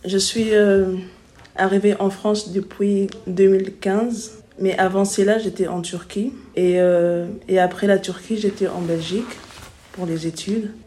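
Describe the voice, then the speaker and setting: A woman in her twenties from Congo, telling her own story in an interview in a hesitant, halting way. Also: mid-range voice, steady tone, warm tone. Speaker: interviewee, sociology student. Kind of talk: personal interview account.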